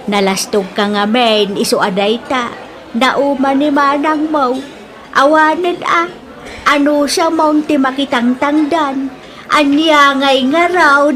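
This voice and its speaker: A woman's voice: high-pitched, fairly even intonation, slow, a bit bright. Woman acting a nagging, exasperated aunt, Ilocano accent. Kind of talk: Ilocano radio drama acting.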